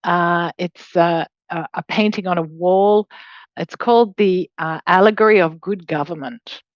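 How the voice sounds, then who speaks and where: A woman's voice: fairly low pitch, unhurried, dry recording, dull audio. A middle-aged Australian woman giving an academic webinar lecture in a conversational, explanatory way, with hedging asides. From Australia, raised in Hong Kong. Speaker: law professor, guest lecturer.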